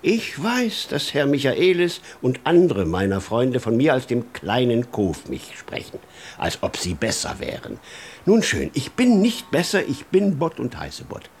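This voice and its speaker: Cocky male merchant, radio drama: defiant, very animated intonation. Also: mid-range voice, unhurried. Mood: proud, piqued. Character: self-assured, defiant small businessman.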